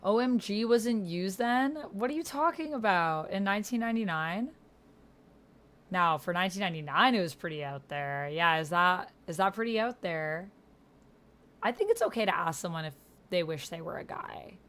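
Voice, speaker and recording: A woman hosting an online roleplay, chatting casually and thinking out loud, sometimes reading typed chat aloud. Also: lowish voice, normal speed. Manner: musing aloud to herself. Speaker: host of an online text roleplay playthrough. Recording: keyboard typing, clear background noise. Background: computer keyboard typing.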